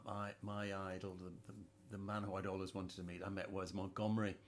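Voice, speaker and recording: A man's voice: sits low, little pitch movement, a little fast, slight background noise. A man hosting a casual music chat, hesitant and repeating words, laughing often. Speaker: host.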